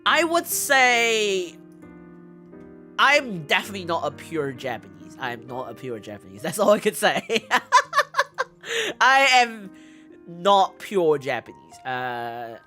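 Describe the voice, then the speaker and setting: Woman, mixed accent, low lively voice, giggly, halting, casual livestream host. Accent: mixed English accent. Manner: giggly, halting, often repeats phrases. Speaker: VTuber streamer host. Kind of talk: casual livestream chat answering viewer questions. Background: background music.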